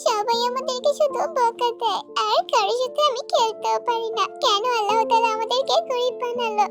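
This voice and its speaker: A woman's voice: extremely high pitch, fairly even intonation, fairly quick. A woman playing an earnest, pleading little village girl in a children's cartoon. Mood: sad, pleading. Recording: music behind the voice.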